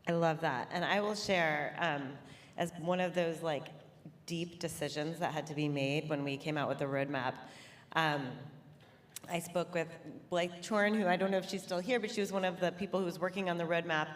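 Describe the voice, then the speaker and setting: A woman with a lowish voice leads a conference panel, chatting loosely and full of fillers. The long reverb of a big hall trails every word. A faint murmur of the room sits behind her. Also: moderate pace, a little hiss or hum. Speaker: panel moderator. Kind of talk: casual conference panel talk. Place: large hall on stage.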